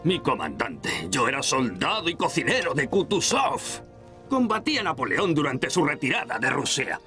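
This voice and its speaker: Grizzled boastful sergeant-cook, lively delivery, cartoon score behind. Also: male voice, normal speed, faint music behind, heavily acted. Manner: booming, boastful, barks orders. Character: gruff bearded old army cook, boastful and shrewd. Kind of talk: Spanish cartoon dub.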